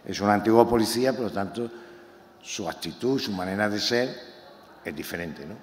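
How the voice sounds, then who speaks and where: A novelist from Málaga, presenting his book to an audience in a talk that is impassioned and halting, with many repeated words. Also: lowish voice, expressive. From Málaga, Spain. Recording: echoey hall, faint room noise.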